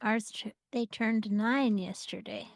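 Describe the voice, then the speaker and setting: A woman's voice: a little high, slow pace, no room sound. A woman chatting casually while playing an online game with a friend, giving short, easygoing replies and prompts. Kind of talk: casual gaming chat.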